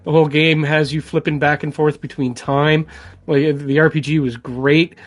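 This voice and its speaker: Higher-voiced man chatting. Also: flat delivery, normal speed. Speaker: podcast co-host. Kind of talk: casual chat about video games.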